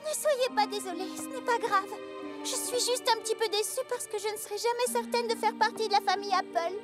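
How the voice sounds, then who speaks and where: Bubbly young mare for animation: squeaky-high, gushing, exclamatory, music bed behind. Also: female voice, a little fast. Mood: gently disappointed. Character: bubbly, excitable young female cartoon pony. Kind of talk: animated cartoon dub.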